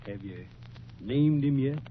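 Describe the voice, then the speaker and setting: An actor playing a remorseful, loyal American desert prospector with folksy, halting speech in an old-time radio western. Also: male voice, medium pitch, lively intonation, close to an everyday voice. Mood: remorseful, tender. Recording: muffled, narrow-band old radio, faint noise.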